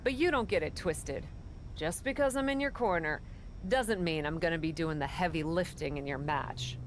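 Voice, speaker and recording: A cocky young female wrestler from a video game cutscene, played by a woman. Her voice sits on the low side, and she talks in a blunt, commanding, sassy way. Clear background noise runs under her. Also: normal speed, lightly acted. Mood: bossy teasing.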